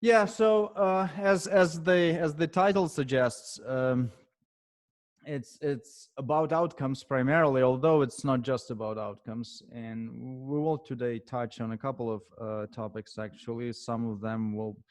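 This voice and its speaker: Guest consultant, male, Ukrainian accent, non-native English, stop-start delivery, mid pitch. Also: steady tone, dry recording, studio quality. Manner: halting, many fillers and restarts. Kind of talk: podcast interview answers.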